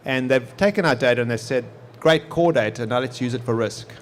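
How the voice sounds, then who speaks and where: A man's voice: medium pitch, brisk, slight background noise. A man in his forties with a South African accent, a guest explaining things in easygoing conversation at a live podcast on an expo stage. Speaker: guest, technology executive. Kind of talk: conversational interview answers.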